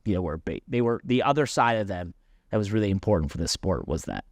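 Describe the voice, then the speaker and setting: A man's voice: low voice, animated, quick pace, dry recording, studio quality. A man co-hosting a casual motorsport podcast, talking through his argument in an explanatory way and often stopping to correct or qualify himself. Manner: explanatory, self-correcting, interjecting. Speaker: podcast co-host and racing driver. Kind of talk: casual sports podcast discussion.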